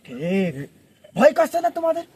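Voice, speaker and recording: A man's voice: very high voice, wildly swooping pitch, measured pace, darkish tone. A man in casual banter, prompting a storyteller with short echoing interjections.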